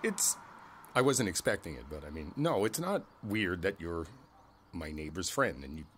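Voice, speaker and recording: Man playing a friendly older neighbor, lively intonation. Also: deep voice, moderate pace, slight background noise. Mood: thoughtful, gentle. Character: friendly older male neighbor chatting on his porch, easygoing and thoughtful. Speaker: voice actor in an audio roleplay. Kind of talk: ASMR roleplay, one side of a casual conversation. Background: outdoor ambience with birds.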